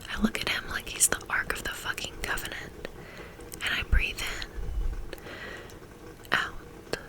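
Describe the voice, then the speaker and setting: A woman reading a first-person novel aloud in a soft, intimate whisper, in a steady ASMR style. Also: slow pace, poor audio. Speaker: audiobook reader.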